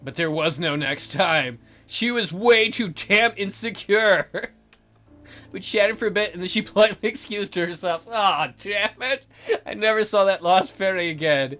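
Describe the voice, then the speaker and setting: A man's voice: high voice, unhurried, sharp tone, faint music behind. A man reading a game's story aloud and adding sarcastic, sweary asides as commentary. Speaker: let's player. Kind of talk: joking game commentary with read-aloud narration.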